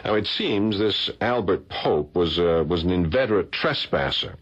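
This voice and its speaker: A man's voice: low-pitched, lively intonation, moderate pace, a bit bright, breathy edge, heavily acted. A man playing a puzzled, insistent art professor in a vintage radio drama. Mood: puzzled, earnest. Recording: dull, lo-fi old radio recording.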